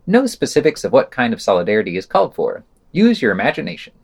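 Man reading news with lively, swooping intonation. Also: mid-range voice, fast talker, slightly rough. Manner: wry, sardonic asides. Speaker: podcast news reader. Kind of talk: scripted news roundup with wry commentary.

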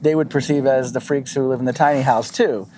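A woman's voice: extremely low pitch, fairly even intonation, brisk, dark tone, slight background noise, poor audio. A woman chatting casually in a group discussion, informal and correcting herself as she goes.

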